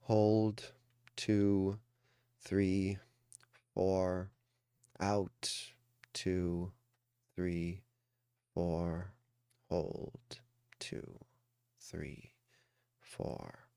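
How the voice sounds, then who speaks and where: Slow, low-voiced man guiding a meditation. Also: clean tone, no room sound, clean studio sound. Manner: calm, soothing, deliberate with pauses. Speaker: meditation coach. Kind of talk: guided meditation.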